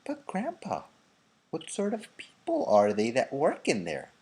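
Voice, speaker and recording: A man voicing a curious, insistent young boy in a storybook, asking eager questions in a soft, breathy way. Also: a little high, huge pitch swings, unhurried, pitched above the performer's own voice, heavily acted. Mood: puzzled, doubting.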